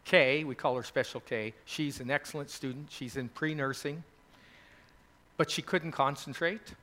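Give me a man addressing a college group, his voice sitting a little high. He rambles casually through stories with lots of fillers. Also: moderate pace, a bit bright. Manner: chatty, rambling storytelling with fillers. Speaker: host of a college gathering.

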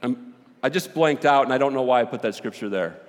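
A man preaching a sermon with a big voice, joking and animated, swinging between loud and quiet. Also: medium pitch, brisk. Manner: animated, joking, with rising and falling volume. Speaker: pastor. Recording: long hall reverb.

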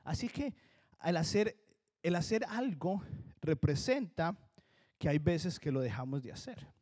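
A man's voice: fairly high pitch, sing-song, darkish tone. Emphatic Colombian man preaching. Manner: earnest, emphatic, slows down to stress key words. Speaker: pastor. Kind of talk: sermon.

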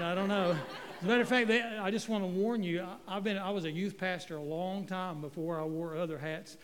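A middle-aged guest pastor from North Carolina, preaching in a folksy, joking way with stumbling restarts. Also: male voice, sits high, long reverb tail, noisy background. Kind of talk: sermon. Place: church sanctuary. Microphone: handheld microphone.

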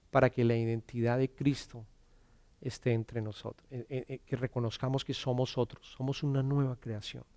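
A middle-aged man from Colombia, preaching an earnest sermon, often repeating words as he starts and dropping to a soft hush on scripture. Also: medium pitch, no room sound, clean studio sound. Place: church.

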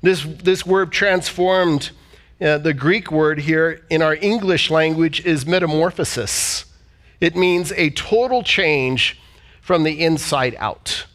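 High-voiced man preaching a sermon. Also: slow, fairly bright tone. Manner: preaching with call-and-response and scripture reading. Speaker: pastor. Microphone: church service streamed online.

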